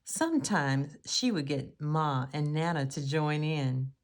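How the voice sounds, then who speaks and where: Female storybook reader. Low register, unhurried pace, measured phrasing for young listeners. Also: expressive. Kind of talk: read-aloud children's story narration.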